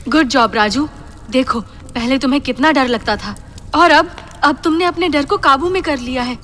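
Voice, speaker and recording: A vengeful, seductive female ghost played by a woman for a horror audio drama. The voice is high and piercingly bright, theatrical and manipulative in its taunts. A noisy bed of insect ambience sits behind it. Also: normal speed. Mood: seductive approval. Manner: theatrical, taunting, code-switches into English.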